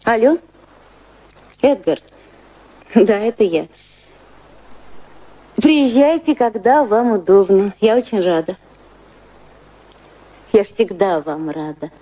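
A woman's voice: mid-range voice, expressive, takes it slowly, long pauses, warm tone, low-quality recording. An actress playing an earnest, confiding, guilt-ridden woman of good society in a Russian radio drama. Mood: polite, welcoming. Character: troubled well-bred woman, guilt-ridden, engaged to be married.

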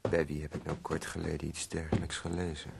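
A courteous male visitor in a radio play, gentle and restrained. His voice is extremely deep and full of gravel, on a clearly noisy recording. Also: completely flat delivery, brisk, full character voice. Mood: gentle, concerned. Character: polite, gentle male visitor to a sick friend. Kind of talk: radio drama acting.

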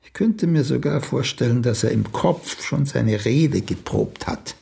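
An actor playing a proud, celebrated old playwright in a radio play, blunt, sardonic and dismissive. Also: male voice, medium pitch, animated, unhurried, vocal fry, dark tone. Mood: wry, musing. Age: older.

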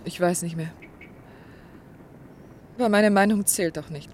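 Actress as a slow, uncertain, resigned woman. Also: a little mellow, close to an everyday voice. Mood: resigned, trusting. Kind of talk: German radio play acting. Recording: faint rumbling background noise.

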